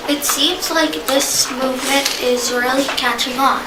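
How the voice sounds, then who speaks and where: Female student reader, quite high voice, scripted delivery, echoey and distant. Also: steady tone, unhurried. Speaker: student performer. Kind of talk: scripted readers theater, read aloud. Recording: very noisy echoey room, distant mic.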